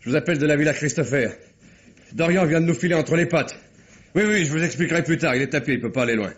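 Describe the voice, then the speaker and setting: A man's voice: fairly high pitch, fast, natural voice. Blunt police commissioner played by a man, muffled recording. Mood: urgent. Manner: dry, terse, colloquial. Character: blunt, shrewd, unflappable male police commissioner. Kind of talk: mid-century French radio crime drama acting. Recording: dull, muffled old radio recording.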